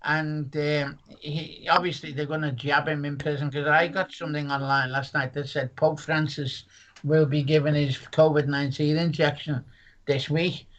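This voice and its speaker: A man's voice: on the high side, flat delivery, normal speed, a bit bright, highs cut off. A man, a comedian rambling jokily through a casual interview chat. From Merseyside, England. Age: 60s. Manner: rambling, jokey, full of asides and restarts. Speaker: comedian guest.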